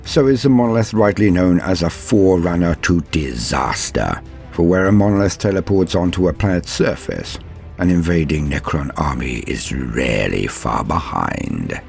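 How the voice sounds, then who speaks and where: Deep-voiced man narrating lore theatrically. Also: very animated, creaky, darkish tone, soft background music. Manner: theatrical, measured, formal. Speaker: host of a Warhammer lore guide. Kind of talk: scripted dramatic lore narration.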